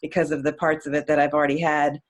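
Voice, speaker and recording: An audience member speaking casually, thinking aloud and halting while searching for words. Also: mid-range voice, flat delivery. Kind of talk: casual conversation.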